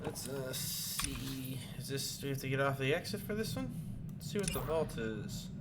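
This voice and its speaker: Casting: male streamer, mid-pitched, casual playthrough commentary, noticeable noise and keyboard clatter behind. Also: animated, normal speed. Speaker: gaming streamer. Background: keyboard typing.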